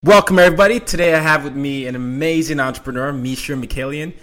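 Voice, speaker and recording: A man's voice: on the high side, quick pace, a bit bright, faint music behind. Upbeat man hosting a podcast interview. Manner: upbeat, friendly, laughs easily. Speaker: podcast host. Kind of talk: casual interview.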